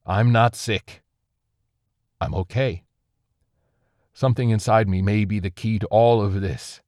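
A man's voice: fairly low pitch, measured pace, darkish tone, dry recording, clean studio sound, close to an everyday voice. A man voicing a defiant, wounded soldier who argues urgently and pleads with his comrades. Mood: pleading.

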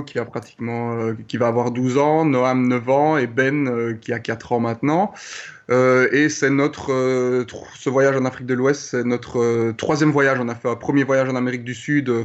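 A man in his thirties from Liège with a Belgian French accent, a guest answering interview questions with many pauses and fillers. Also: mid-range voice, muffled recording. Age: 30s. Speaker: guest, traveler. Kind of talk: remote interview.

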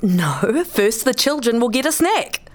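A blunt, irritable mother played by a woman in a radio drama. Her voice is fairly high and very animated in pitch, with a curt way of talking. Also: unhurried. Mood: irritated, curt. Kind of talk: radio play acting.

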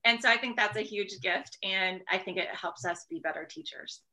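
A woman talks as a guest educator in an interview. Her voice is bright and forward at a medium pitch. She explains in a conversational way, often checking in with the listener. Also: moderate pace, low-quality recording.

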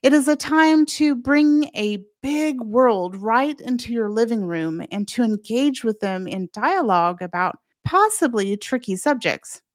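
Woman, podcast host, slightly high pitch, scripted delivery, encouraging, direct address, very dry sound. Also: expressive, measured pace, hardly any pauses, dry recording. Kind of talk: scripted solo podcast advice.

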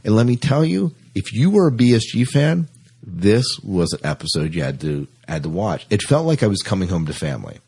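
A man's voice: fairly low pitch, sing-song, a little fast, creaky, a little mellow, breathy edge. A man hosting a podcast, chatting casually and enthusiastically as a science fiction fan, often repeating himself for emphasis. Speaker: podcast host. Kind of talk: casual fan discussion of sci-fi TV.

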